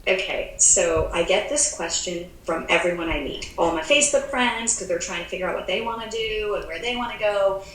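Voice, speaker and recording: A woman's voice: mid-range voice, fairly quick, hardly any pauses, a bit bright. An American woman working as a travel advisor, chatting casually on a podcast, laughing often and restarting her sentences. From United States. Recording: echoey room with faint background noise.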